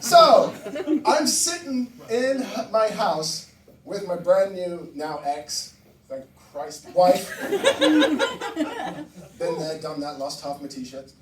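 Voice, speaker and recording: Animated stand-up man from Barbados. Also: slow pace, echoey room, recorded from a distance, crowd noise, poor audio. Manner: animated, swearing, voice jumps high for punchlines. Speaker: stand-up comedian. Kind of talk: stand-up comedy set. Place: comedy club stage with audience. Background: audience laughter.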